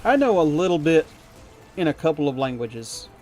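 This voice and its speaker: A man's voice: a little high, big pitch swings, normal speed, darkish tone, soft background music. A man from the United States chatting with his viewers while gaming, rambling and chuckling and poking fun at himself. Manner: rambling, chuckling, self-deprecating. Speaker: streamer.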